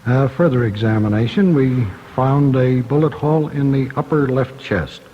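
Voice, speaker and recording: An American man giving a formal police report as a detective, halting and matter-of-fact. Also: medium pitch. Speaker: homicide detective. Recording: muffled old radio, faint noise.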